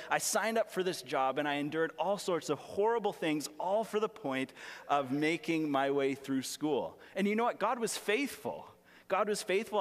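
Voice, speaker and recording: A young man, a pastor with a raspy voice, preaching a sermon full of personal stories in an earnest, self-deprecating, conversational way. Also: on the high side. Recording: long reverb of a large hall.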